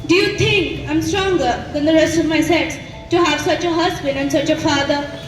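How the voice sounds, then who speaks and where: A very high, youthful voice from a young woman acting an insistent Roman noblewife, pleading formally on stage. Music plays behind, and the sound is echoey. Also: moderate pace, recorded from a distance, natural voice. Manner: pleading, declamatory. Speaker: school play actor. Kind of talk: school stage play acting.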